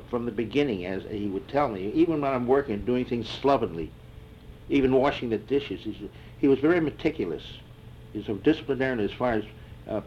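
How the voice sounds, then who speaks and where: An older man in an oral history interview, answering reflectively and haltingly with many fillers and restarts. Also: medium pitch, darkish tone. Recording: dull lo-fi tape with faint hiss.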